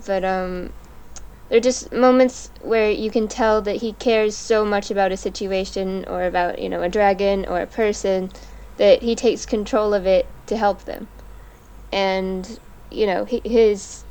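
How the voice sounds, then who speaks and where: A woman speaks on a fan podcast in a smooth, resonant voice of middle pitch. She is measured and thoughtful, pausing with ums as she lays out her counterpoint. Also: a little mellow, poor audio. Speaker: podcast co-host. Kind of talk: casual fan podcast chat.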